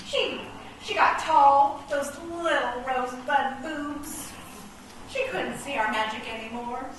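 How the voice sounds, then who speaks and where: A woman's voice: high voice, slow, distant mic. A woman playing a curious, critical, sassy chess castle with a wry, theatrical delivery in a comic radio play. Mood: wistful, playful. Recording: echoey, faintly noisy stage recording from afar.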